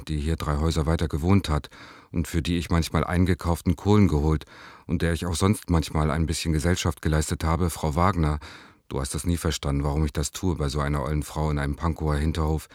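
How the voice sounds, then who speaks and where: Radio drama lover: young bohemian, extremely low voice, confiding and deliberate, dry close sound. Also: male voice, a little mellow, no echo. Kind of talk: radio play letter reading.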